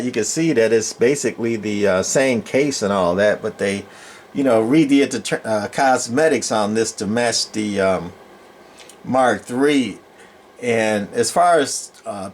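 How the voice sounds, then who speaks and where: A man giving a casual, unscripted show-and-tell as a hobby collector, rambling and hesitant with plenty of enthusiast jargon. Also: mid-range voice. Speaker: collector presenting his radio collection.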